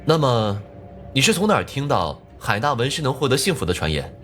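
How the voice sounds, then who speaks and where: An actor playing an earnest, excitable young junior policeman in an animated crime drama, deferential and eager. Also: mid-range voice, brisk, slight background noise. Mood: serious.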